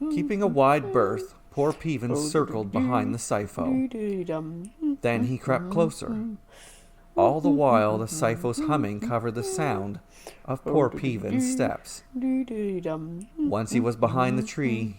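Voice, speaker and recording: A man narrating a scripted fairy tale as a storyteller, in a measured, deliberate way. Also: medium pitch. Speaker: storyteller narrating his own fairy tales.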